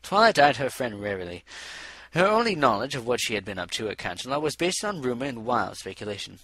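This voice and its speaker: A man's voice: mid-range voice, very animated, brisk, fairly bright tone, no echo, poor audio. A man reading a scripted story as an audiobook narrator.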